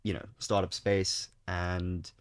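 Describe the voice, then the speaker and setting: A young man with a South African accent and a fairly low voice, speaking as a guest on a podcast. He talks in a relaxed, chatty way, full of fillers and restarts. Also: slow pace, clear, resonant tone. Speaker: guest, startup founder. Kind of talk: casual interview chat.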